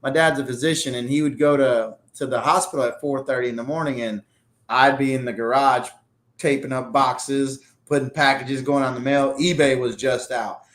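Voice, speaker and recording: A man in his forties, originally from Pakistan, guesting on an interview. He talks in a relaxed, rambling way, full of fillers, with an ordinary mid-height voice. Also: mid-range voice, normal speed. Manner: easygoing, talkative, loose storytelling with fillers. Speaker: restaurant owner, guest. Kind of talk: casual interview storytelling.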